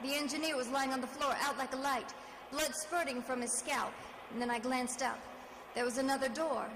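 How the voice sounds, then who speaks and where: A woman's voice: high voice, fairly even intonation, moderate pace. An actress playing a tough, wry female private detective, narrating her case in old-time radio drama style. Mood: tense, urgent. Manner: hard-boiled, wry, dramatic. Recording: radio drama with music behind.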